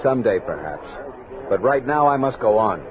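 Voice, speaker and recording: An actor playing a steady, courteous, dutiful army captain in a 1960s radio western, speaking in an earnest, formal way. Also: male voice, lowish voice, normal speed, crowd noise. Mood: resolute. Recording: muffled old radio recording, background noise.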